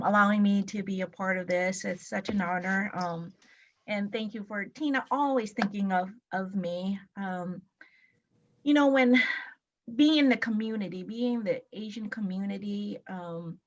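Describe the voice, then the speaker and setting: A woman from Laos speaking on a webinar panel, pausing often with ums and restarting her sentences. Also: mid-range voice, lo-fi sound. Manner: hesitant, many ums and restarts. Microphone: video call.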